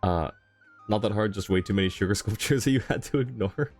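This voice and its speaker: Low-voiced man, casual game livestreamer. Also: a little fast, darkish tone. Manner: chuckling, wry, conversational. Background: faint game music.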